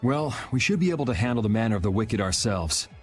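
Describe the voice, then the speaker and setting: A man's voice: fairly low pitch, lively intonation, fast, natural voice. Cool, wry young adventurer played by a man. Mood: cool, decisive. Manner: offhand, dry, decisive. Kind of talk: video game voice acting. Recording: music under the voice.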